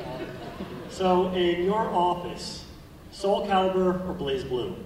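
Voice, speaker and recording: A man in the audience, dressed as a sorcerer, asking a question hesitantly with nervous pauses. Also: high-pitched, expressive, a little mellow, slight background noise. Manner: shy, hesitant, nervous laughs. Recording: distant mic in echoey hall, crowd.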